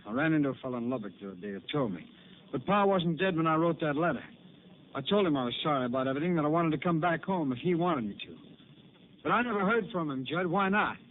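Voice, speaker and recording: Man as estranged young cowboy, pleading drawl, quick delivery, thin phone-like old radio sound. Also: fairly high pitch, lively intonation, close to an everyday voice. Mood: defensive. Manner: rural drawl, pleading and defensive. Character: estranged young cowboy returning home, defensive. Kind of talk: 1950s radio drama acting. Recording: phone-narrow old radio transcription with hiss.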